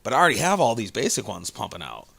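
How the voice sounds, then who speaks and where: A man's voice: lowish voice, wildly swooping pitch, fast talker, vocal fry, fairly bright tone, no room sound. Man, podcast co-host, rambling casual chat, dry close-miked sound. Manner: rambling, lots of fillers and restarts.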